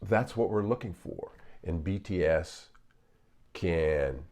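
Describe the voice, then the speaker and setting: An older man commenting on video clips as a psychologist, in a measured way with frequent pauses and fillers. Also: low voice, a little mellow.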